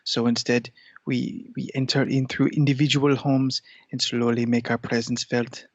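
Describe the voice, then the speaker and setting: A man performs a lordly, scheming cat in a comedy podcast. The delivery is stilted and pompous, with very little movement in pitch. The sound is dry and slightly dull. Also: flat delivery, normal speed, no echo, close to an everyday voice. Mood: sly, grandiose. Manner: stilted, pompous formal speech. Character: haughty male alien cat plotting world domination. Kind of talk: in-character improvised comedy interview.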